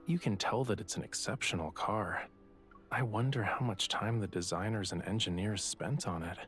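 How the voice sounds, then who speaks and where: An actor playing a self-assured yet quietly vulnerable young artist in a romance game, speaking softly and intimately with a teasing touch. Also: male voice, on the low side, normal speed, soft background music, lightly acted. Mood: wistful.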